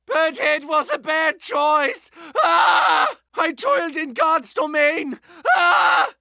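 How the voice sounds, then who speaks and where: A man's voice: very high-pitched, slow, gravelly, pushed and bright, dry recording, shouting, full character voice. A man playing a frantic mad scientist with a bird's head in a video game, theatrical and hysterical. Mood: anguished hysteria. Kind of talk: exaggerated video game character acting.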